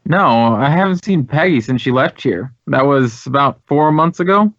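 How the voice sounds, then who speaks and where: A man's voice: mid-range voice, lively intonation, normal speed, a bit bright, dry recording. An American man playing an earnest, talkative drama school head in a radio drama, speaking with emphatic, reflective phrasing. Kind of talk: 1950s radio drama dialogue. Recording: dry, dull vintage radio recording.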